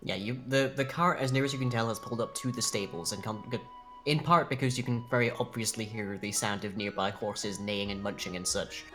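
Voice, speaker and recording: A man narrating a tabletop role-playing game as dungeon master in measured, wordy phrasing. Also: lowish voice, fairly bright tone. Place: home room, online video call. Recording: music behind the voice.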